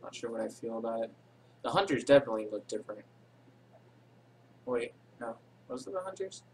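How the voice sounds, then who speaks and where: Guy rambling thru a game tutorial, mid pitch, lots of ums, a bit of hiss behind. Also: lively intonation, brisk, a little mellow, poor audio. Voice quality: says he has a boring voice. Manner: rambling, unscripted, many ums and self-corrections. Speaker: game tutorial channel host. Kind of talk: casual screen-recorded game tutorial.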